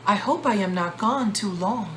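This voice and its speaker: A woman's voice: mid-range voice, expressive, moderate pace, a little hiss or hum, natural voice. A woman reading the part of a kindly, puzzled male cap peddler in a children's storybook. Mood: concerned. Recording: roomy echo, loud guitar music.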